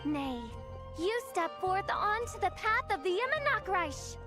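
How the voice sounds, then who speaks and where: A woman's voice: extremely high pitch, sing-song, a little slow, exaggerated voice. A woman voicing a haughty, theatrical teenage girl who poses as a princess in an anime game, speaking in pompous archaic phrases. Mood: imperious. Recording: game music behind the voice.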